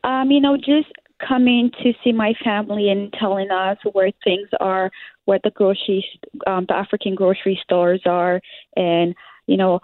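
A woman's voice: medium pitch, no room sound. Young Liberian woman, phone-like sound. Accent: Liberian English. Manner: hesitant, many fillers, laughs often. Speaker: interviewee. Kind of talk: interview answers.

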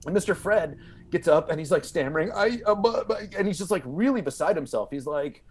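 A man with a slightly high, very animated voice tells stories like a comedian guesting on a show. His delivery is halting, with repeated words and plenty of "like" as he builds the tale. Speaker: podcast guest, stand-up comedian. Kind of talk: animated comic storytelling.

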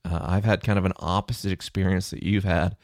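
A middle-aged man with a low, chesty voice, hosting a podcast and casually explaining research in answer to a listener question. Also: fairly even intonation, fairly quick, no room sound, clean studio sound. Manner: conversational, thinking aloud with fillers.

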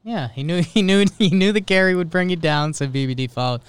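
Clear-voiced man co-hosting sports talk. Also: high voice, animated, a little fast, dry recording. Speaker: sports podcast co-host.

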